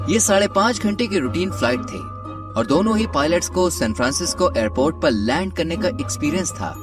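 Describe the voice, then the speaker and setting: A man delivering scripted, dramatic documentary narration. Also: on the high side. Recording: distant-sounding voice over background music. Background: music.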